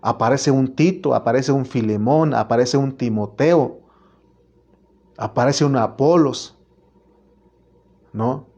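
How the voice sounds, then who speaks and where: A man's voice: medium pitch, a little mellow, muffled recording. A man with a Mexican Spanish accent preaching a sermon as pastor, earnest and repetitive, often restarting his words.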